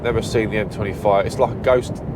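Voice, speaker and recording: Male British trucker, stuffy nose, rambling self-correcting cab chat, heavy engine rumble behind. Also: fairly even intonation, quick pace. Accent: British English. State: stuffy blocked nose, sniffling. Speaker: truck driver vlogger. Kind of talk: casual driving vlog commentary. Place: truck cab at night on a motorway.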